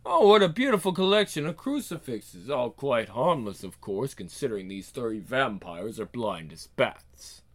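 A man's voice: medium pitch, very animated, normal speed, few pauses, voice pushed down. Man playing a coldly amused, taunting villainous assassin. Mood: mock delight. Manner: theatrical, taunting, controlled. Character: sadistic, urbane male assassin, mocking, coldly amused villain.

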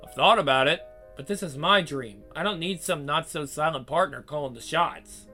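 A man voicing a gruff, proud older bar owner who talks loud and blunt, reading a visual novel. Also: a little high, normal speed, soft background music.

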